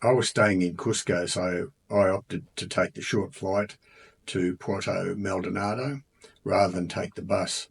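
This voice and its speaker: An Australian man with a slightly low, husky voice talks through his travels in an easygoing, chatty way. He fills pauses with ums and uhs and pokes fun at himself. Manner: relaxed, chatty, many ums and uhs, self-mocking. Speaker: travel vlogger. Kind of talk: casual travel narration.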